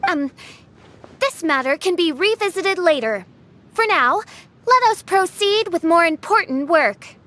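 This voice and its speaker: A very high, bright and forceful voice of a haughty young princess in a game. She speaks in grand, melodramatic, old-fashioned phrases, every line a royal proclamation. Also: animated, a little slow, full character voice. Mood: grandiose, aloof. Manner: pompous, archaic, melodramatic phrasing. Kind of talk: video game voice acting.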